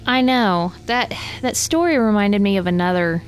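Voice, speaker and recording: A woman with a faint Southern US accent co-hosts a podcast. Her voice sits at a medium pitch and her talk is casual, with faint music behind it. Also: lively intonation, normal speed. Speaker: podcast co-host. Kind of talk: casual chat.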